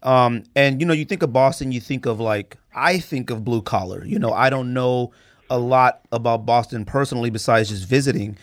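A man's voice: medium pitch, normal speed, dry recording. A man from Arizona hosting a podcast, chatting casually in a rambling, conversational way as he interviews. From United States, Arizona. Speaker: podcast host.

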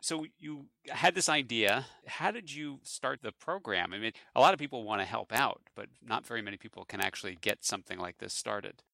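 Male podcast host; low-pitched and gravelly, conversational questions, dry close sound. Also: expressive, brisk, hardly any pauses, pushed and bright, no room sound. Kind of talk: hosted interview.

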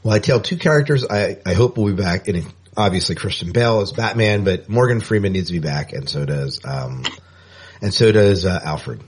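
A man's voice: deep voice, normal speed, husky. A man co-hosting a podcast in casual chat, often repeating phrases for emphasis. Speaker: podcast co-host.

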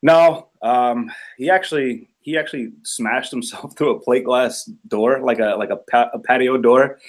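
A man's voice: mid-range voice, normal speed. A man, a police officer guest on a podcast, telling a story in a loose, rambling way with many fillers. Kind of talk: casual chat.

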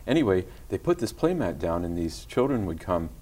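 A middle-aged man with a very low, gentle voice chats as a guest in an interview. He speaks softly and hesitantly, restarting and repeating words as he gathers his thoughts. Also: big pitch swings, brisk. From Virginia, USA. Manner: soft-spoken, hesitant with many restarts. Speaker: guest songwriter. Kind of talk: casual interview chat.

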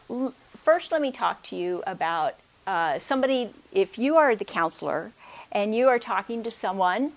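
A soft-spoken woman giving a conference workshop talk into a microphone, hesitant, with many restarts and check-ins with the audience. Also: mid-range voice. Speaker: workshop speaker. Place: conference room. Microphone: handheld or stand microphone.

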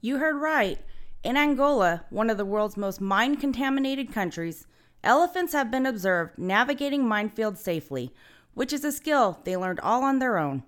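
A woman host reading scripted narration in a clear, expressive way. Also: a little high, moderate pace.

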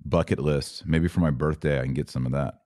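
A man's voice: rumbling low, fairly even intonation, a little fast, warm tone, dry recording, clean studio sound. A man speaking as a podcast host in an informal, emphatic, slangy way, chatting casually and answering live comments. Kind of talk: casual livestream chat answering viewer comments.

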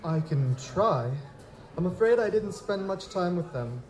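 A young nobleman, acted by a man, with a fairly high, mellow voice and stiff, formal phrasing. He is touchy and proper, a bereaved lord in a live audio drama. The sound is roomy and echoing, with some noise. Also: lively intonation, unhurried. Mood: polite, formal. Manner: formal, stiff, aristocratic diction.

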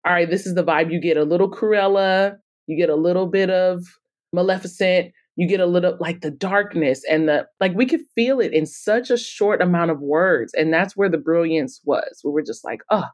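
Casting: woman with a fairly low voice, expressive interviewee in an easy conversation. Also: moderate pace. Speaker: podcast guest, author and publisher.

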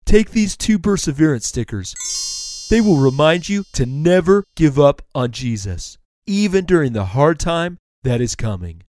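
A man voicing a gentle, encouraging turtle in a children's story, earnest and reassuring. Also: a little high, big pitch swings, moderate pace, no room sound, voice pushed up.

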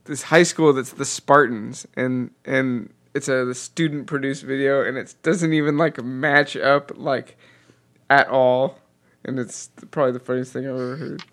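A man's voice: mid-range voice, fairly bright tone. A man in casual conversation, eagerly explaining things with lots of fillers. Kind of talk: casual chat over a delayed call.

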